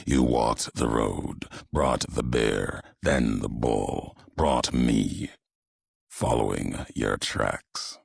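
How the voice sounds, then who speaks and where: An extremely deep, heavily raspy game character, a brooding and vengeful wasteland courier. He speaks slowly in solemn, weighty, ominous phrases. The sound is close and dry. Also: no echo, heavily acted. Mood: accusing, menacing. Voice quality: gravelly, raspy bass. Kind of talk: video game character dialogue.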